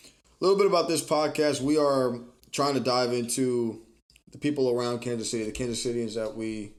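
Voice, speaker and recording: A man hosting a podcast, asking questions in casual chat and speaking haltingly with many restarts. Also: medium pitch. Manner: hesitant, halting, full of restarts. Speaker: podcast host.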